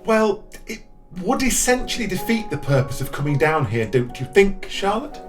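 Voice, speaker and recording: A woman's voice: medium pitch, very animated, moderate pace, soft background music, lightly acted. A woman playing an uptight, dry, easily embarrassed husband in an audio drama, speaking in a stiff, disapproving way. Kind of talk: amateur audio drama acting.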